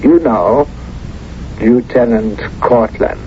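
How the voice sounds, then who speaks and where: An actor in a 1970s radio drama playing a suave, menacing former collaborator, measured, deliberate and smug. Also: male voice, medium pitch, dark tone. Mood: silky, probing. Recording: muffled, hissy old radio recording.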